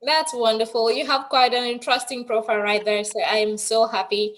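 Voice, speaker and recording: Effusive female host with a higher-pitched voice. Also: steady tone, fairly quick. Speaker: host, anchor of an online TV show. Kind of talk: presenting and interviewing.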